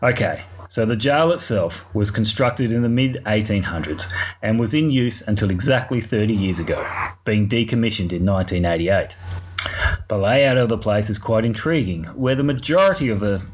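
A man with an Australian accent reads out a prepared recap for a podcast. His voice sits a little low, and the recording is dull with faint background noise. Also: expressive, normal speed. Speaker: podcast correspondent. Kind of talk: scripted recap narration.

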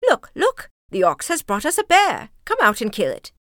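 A woman voicing a determined, excitable old peasant woman in a folk tale, exclamatory and sing-song. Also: on the high side, normal speed, dry recording, studio quality. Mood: excited, alarmed.

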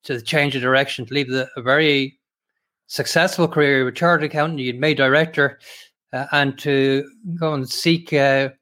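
A man's voice: mid-range voice, fairly even intonation, sharp tone. Man hosting an interview. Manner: measured, formal, many "uh" hesitations. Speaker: host.

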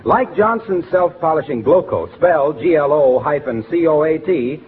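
On a tinny old radio broadcast, a genial salesman, played by a man, speaks in a fairly high, lively voice. He pitches with emphatic, deliberate announcer polish from the 1940s. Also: soft background music, natural voice. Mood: emphatic, selling. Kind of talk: 1940s radio advertising and comedy.

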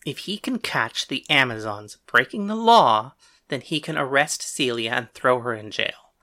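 Woman putting on a low, indignant male mayor. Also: lively intonation, a little slow, pushed and bright, heavily acted. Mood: evasive, defensive. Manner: indignant, blustering. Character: bound male mayor, defiant, exasperated husband. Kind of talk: audiobook character dialogue.

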